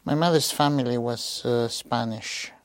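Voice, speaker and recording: A man in his sixties from Greece, giving a halting oral history testimony with many pauses and fillers. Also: medium pitch, no room sound. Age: 60s. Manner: slow, hesitant, with frequent pauses and fillers. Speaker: interviewee.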